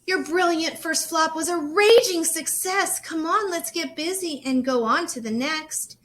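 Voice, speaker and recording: A woman's voice: very high voice, measured pace, a bit bright, pitched above the performer's own voice. Woman putting on a spirited, emphatic old great-aunt. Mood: jubilant. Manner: emphatic, exclamatory. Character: elderly great-aunt, spirited, encouraging former airplane builder.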